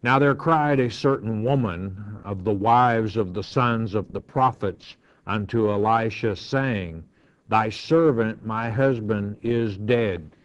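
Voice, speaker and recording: An older male pastor teaching through scripture at a slow, unhurried pace. He reads each verse aloud and then explains it plainly in a low voice with frequent fillers. The recording sounds dull and lo-fi, like an old broadcast.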